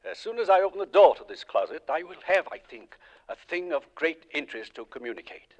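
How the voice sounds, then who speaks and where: An actor playing an earnest, methodical ghost-hunting doctor, giving formal, precise reports in 1940s radio suspense style. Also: male voice, fairly high pitch, sing-song, fairly quick. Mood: grave, intent. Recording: dull, lo-fi vintage radio recording.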